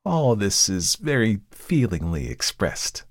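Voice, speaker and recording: A man playing a calm, courteous, uncanny devil. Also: lowish voice, no room sound, clean studio sound, exaggerated voice. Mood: detached, urging. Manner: polite, measured, pleasant and unhurried. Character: uncanny devilish male visitant, courteous, calm, kindly severe. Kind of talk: dramatized literary storybook reading.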